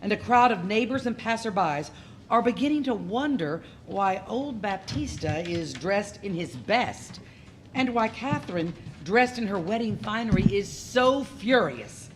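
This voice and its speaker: A woman narrator tells the story of a play with wry, dramatic emphasis. Her medium-pitched voice moves up and down freely, over noticeable background noise. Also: animated, a little slow.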